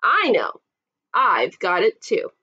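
A woman's voice: medium pitch, huge pitch swings, slow pace, sharp tone, breathy edge, highs cut off, full character voice. A woman voicing a friendly male bear professor who explains dinosaurs with enthusiasm in a storybook. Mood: eager. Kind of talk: storybook character voice.

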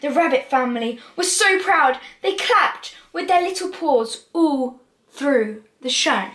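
Young girl reading a kids book out loud, high voice, bit echoey. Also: expressive, takes it slowly. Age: child, about 10 to 13. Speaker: presenter reading children's picture books aloud. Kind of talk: read-aloud storytelling for children. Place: small room at home, indoors. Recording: echoey small room.

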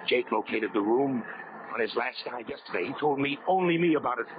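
An actor playing a desperate, cajoling ship's captain in a 1930s radio drama, urgent and insistent. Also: male voice, medium pitch, lively intonation, brisk, lightly acted. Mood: urgent, coaxing. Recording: muffled old radio recording, heavy noise.

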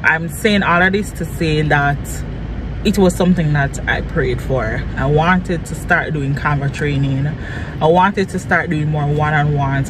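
A man talking casually and earnestly in a motivational vlog, repeating phrases for emphasis. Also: fairly high pitch. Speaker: small business vlogger and design trainer. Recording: clear vehicle and outdoor noise.

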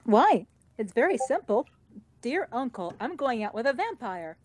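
A woman's voice: fairly high pitch, very animated, moderate pace, darkish tone, dry recording. A woman doing a live comic dub, reading out the script as a voice actor.

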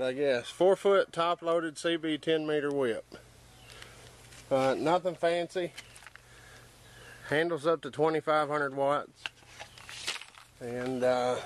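Higher-voiced young man, casual DIY talk, noisy recording. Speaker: host of a DIY preparedness channel. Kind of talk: casual hands-on tutorial talk. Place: outdoors in a yard and in a wooden workshop. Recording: noisy handheld camera audio.

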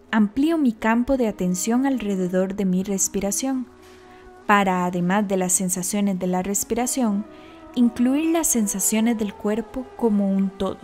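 A woman narrates a guided meditation. Her timbre is dark and relaxed, at a middling pitch for a woman. She gives scripted instructions in a calm, deliberate way, with faint music behind her.

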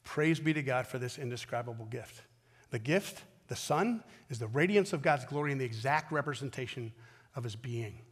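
An American man in his fifties preaching a sermon as a pastor, earnest and emphatic, with deliberate pauses and repetition. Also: medium pitch, lively intonation, husky, in a large hall. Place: large indoor church auditorium stage at a wooden pulpit.

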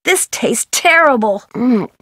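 Young female detective for adventure game: American, eager, wholesome, very lively intonation, dry close sound. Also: a little high, takes it slowly, husky, no room sound, heavily acted. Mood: musing. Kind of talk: scripted video game voice acting, thinking aloud.

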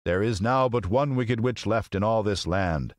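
A man's voice: lowish voice, normal speed, no room sound, clean studio sound, voice pushed up, close to an everyday voice. A man voicing a stern, commanding great wizard in the form of a giant head, speaking in imperious, emphatic pronouncements for a storybook. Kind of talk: storybook character voice.

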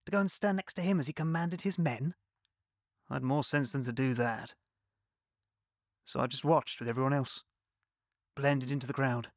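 A man's voice: mid-range voice, moderate pace, long pauses, no echo, studio quality, natural voice. An English actor playing a man recalling his father and his childhood, in a reflective radio play monologue. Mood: wistful.

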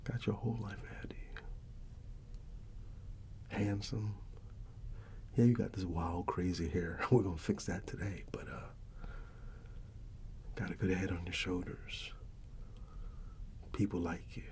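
Low, gravelly American man speaking softly. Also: fairly even intonation, slight background noise. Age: middle-aged. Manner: unhurried, halting, fatherly and reassuring. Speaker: ASMR performer playing a barber. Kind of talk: soft-spoken ASMR barber roleplay.

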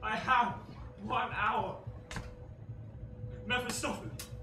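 A man's voice: extremely high pitch, slow pace, over music. A man playing a raving damned scholar. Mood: dread. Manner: anguished, swinging between shouts and hushed dread. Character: damned male scholar who sold his soul, tormented and raving. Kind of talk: stage play acting. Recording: echoey hall from far off, faint ambient noise.

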